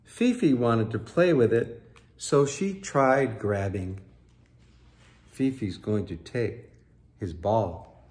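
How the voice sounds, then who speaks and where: A man in his sixties reading a picture book aloud to children in an expressive, deliberate way. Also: on the low side. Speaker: storyteller for children. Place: living room.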